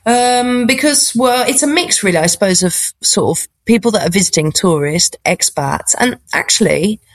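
A middle-aged woman with a posh British accent speaks in a relaxed interview, pausing and restarting as she finds her words. Her voice carries a noticeable gravelly fry. The sound is dry and close, with no room around it. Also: mid-range voice, no echo. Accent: posh British English. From England. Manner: thoughtful, repeats words while searching for phrasing. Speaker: stand-up comedian. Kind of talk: casual interview.

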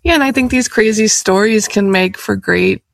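A woman interviewer talks in a medium-pitched voice with very heavy vocal fry. She keeps things casual and conversational, agreeing with and affirming her guest in an informal way. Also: moderate pace. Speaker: interviewer, host.

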